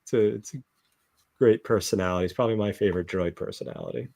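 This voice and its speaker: A man's voice: sits low, quick pace, vocal fry, a little mellow, no room sound. A man hosting a casual panel discussion, chatting informally about a TV show. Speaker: host of a fan discussion show.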